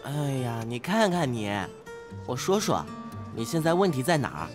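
An actor playing an earnest, oblivious teenage class monitor who talks in a preachy, naive way in an audio drama. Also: male voice, medium pitch, huge pitch swings, normal speed, faint music behind. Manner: preachy, matter-of-fact, naive. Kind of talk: modern audio drama acting.

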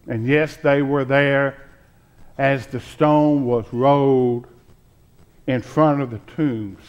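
A man in his sixties delivers a church sermon at a slow pace. His pitch sits in the middle range, and he sets out each phrase deliberately, with dramatic pauses between them. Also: lo-fi sound. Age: 60s. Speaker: pastor. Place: church sanctuary, at the pulpit. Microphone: pulpit microphone.

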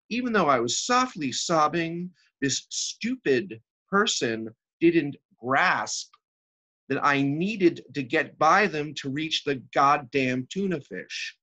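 A man's voice: a little high, sing-song, slow pace, sharp tone, voice pushed up, heavily acted. A man acting a self-pitying, exasperated woman in her thirties in a rambling, emphatic comic stage monologue. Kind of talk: theatrical comic monologue reading.

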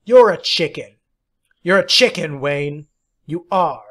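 A man voicing an indignant, hot-tempered schoolboy who shouts and taunts, in an audiobook story. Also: a little high, animated, slow pace, full character voice. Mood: taunting. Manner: shouting, sing-song taunts.

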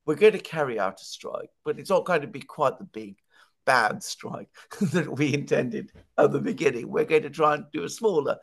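A man's voice: a little high, moderate pace, creaky. A man in his sixties giving conversational political analysis, explaining emphatically and posing rhetorical questions. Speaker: political commentator. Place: home study with bookshelves. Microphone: headset on video call.

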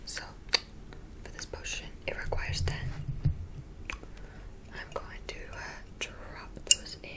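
Whispering young woman, ASMR roleplay. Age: young adult. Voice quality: breathy unvoiced whisper. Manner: gentle, unhurried instructing. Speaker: ASMR performer playing a witch teacher.

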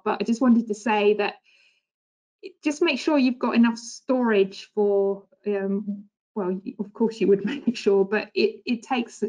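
A woman's voice: medium pitch, darkish tone, dull audio. Woman presenting a farming webinar. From England. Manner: conversational, many fillers, thoughtful. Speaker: agronomist presenter. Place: indoor home office. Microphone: webcam video call.

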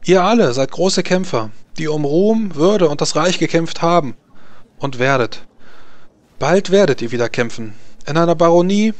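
A man's voice: a little high, dry recording, voice pushed up. A man voicing a majestic, proud empress in a tabletop fantasy game, giving solemn and rousing oratory. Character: majestic proud empress addressing her knights.

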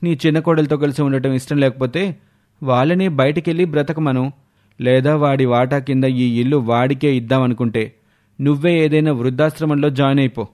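A man's voice: mid-range voice, steady tone, normal speed, darkish tone, close to an everyday voice. A man playing a callous, mocking elder son in a cartoon, condescending and dismissive. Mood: coldly dismissive.

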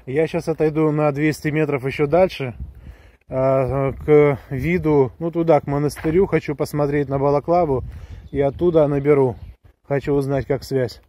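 A man's voice: on the high side, fairly even intonation, moderate pace, warm tone, a little hiss or hum. Hesitant man, casual vlog talk. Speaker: vlogger reviewing a gadget. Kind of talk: casual walking vlog, talking to viewers. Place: outdoors on a woodland path, walking. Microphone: handheld camera or phone held close while walking.

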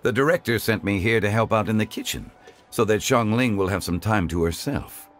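A man voicing a calm, dignified male scholar in a game, speaking in a measured, formal way. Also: lowish voice, soft background music, lightly acted. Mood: composed.

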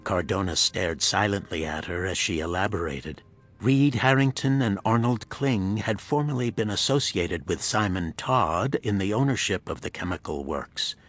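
A man giving scripted narration of a pulp thriller audiobook in a husky voice. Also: deep voice, moderate pace, a bit bright, soft background music.

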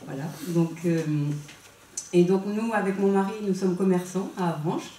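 A woman in her forties talks to a local meeting through a handheld mic, chatty and hesitant. Her voice is mellow and a little low. The sound is roomy and distant, with faint hum behind. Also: normal speed. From Vendée, France. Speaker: substitute candidate at a public meeting. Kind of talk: introducing herself at a campaign meeting. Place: small meeting room, indoors. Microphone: handheld mic, filmed by a far camera. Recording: echoey room heard from afar.

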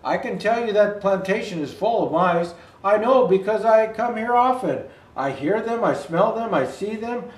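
An older man reading a children's picture book aloud as a storyteller, with expressive, deliberate phrasing and the odd stumble. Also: sits high, far from the mic. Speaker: Santa Claus storyteller. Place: indoor room with Christmas decor. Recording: roomy indoor echo.

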